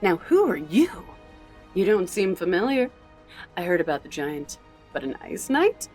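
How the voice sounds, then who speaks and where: A woman's voice: lowish voice, sing-song, measured pace, a little mellow, soft background music. A woman playing a smug, mocking supervillain in an audio drama, taunting and theatrical in her delivery. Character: smug, mocking female supervillain holding hostages.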